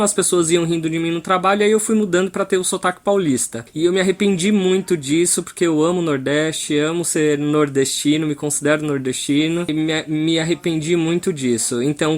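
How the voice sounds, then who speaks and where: A man guest in an interview, telling his personal story in an earnest, emphatic way. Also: a little high.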